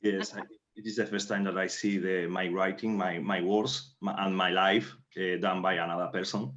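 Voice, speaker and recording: A man's voice: low voice, level tone, moderate pace, fairly bright tone. A man, a native Spanish speaker with a Spanish accent, recounting his work as a playwright in careful, non-fluent English. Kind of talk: recounting in interview. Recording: dull, muffled audio.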